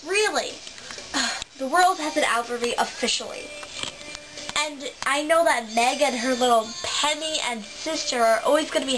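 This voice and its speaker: A high-pitched teenage girl voices plush toys in a homemade bedroom show. She hops between playful characters, and clear music plays under her voice. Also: poor audio. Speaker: voice of several plush toy characters. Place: bedroom, indoors. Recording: music playing behind the voices.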